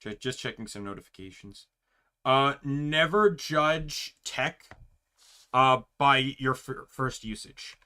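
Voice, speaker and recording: A young man streaming, chatting casually with a loud voice, rambling with lots of fillers and restarts. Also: medium pitch, slow, smooth.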